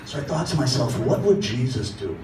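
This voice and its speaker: A man's voice: mid-range voice, very animated, fairly quick. An older man from doing a profane stand-up set with crowd work at a comedy club. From Boston, Massachusetts. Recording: roomy club audio, mic far off, loud crowd laughter. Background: loud audience laughter and club noise.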